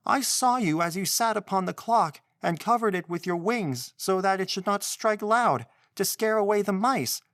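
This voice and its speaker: Man doing a sick little girl, high pitched voice, pleading, scolding, dry close sound. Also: animated, normal speed, hardly any pauses, fairly bright tone, no echo. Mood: reproachful.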